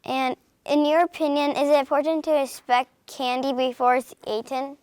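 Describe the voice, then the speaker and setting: A woman's voice: high-pitched, flat delivery, normal speed, a little mellow, no echo. A young woman reporter interviewing a guest politely, with a few hesitations. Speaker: student reporter.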